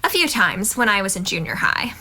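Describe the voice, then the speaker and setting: A bright-voiced young college woman, played by an actress with a very animated, swooping delivery. She is outgoing, inquisitive and breezily direct, as in an audio drama. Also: mid-range voice, brisk, exaggerated voice. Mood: curious. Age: young adult. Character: outgoing, curious, playful, plain-spoken young college woman.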